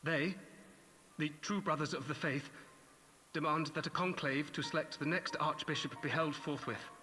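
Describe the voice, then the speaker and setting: An actor playing an earnest young messenger in a video game, delivering dreadful news in a halting, formal manner. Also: male voice, on the high side, fairly even intonation, sharp tone. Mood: shaken, urgent. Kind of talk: dramatic video game voice acting. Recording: long reverb, faint music behind.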